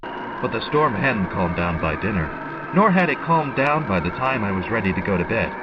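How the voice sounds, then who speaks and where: A man's voice: fairly low pitch, sing-song, normal speed, very noisy. A man playing a weary, reluctant businessman in an old-time radio drama. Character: weary, wry travelling businessman, reluctant and uneasy. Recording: muffled, distant, loud music behind.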